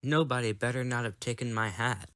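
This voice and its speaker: A man's voice: medium pitch, a little fast, sharp tone, a little hiss or hum, lightly acted. A man playing a plucky, blunt young boy in a motion comic drama, childish and matter-of-fact. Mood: sulky.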